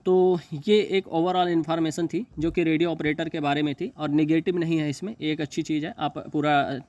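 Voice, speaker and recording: A man giving an informal explainer, reading and paraphrasing in a halting, repetitive way. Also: on the high side, fairly even intonation, a little mellow, no room sound, highs cut off. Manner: halting, repetitive, stumbles over English terms he reads. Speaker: exam news video host.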